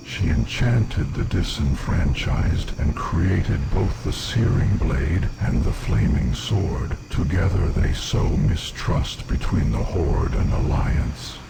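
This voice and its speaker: A gloating game demon with a very deep, heavily gravelled voice. He talks in grand, scornful pronouncements, under loud noise. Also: exaggerated voice. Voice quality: gravelly, menacing. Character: gloating demon prisoner, contemptuous servant of evil. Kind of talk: fantasy game cutscene villain acting. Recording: heavy noise behind the voice.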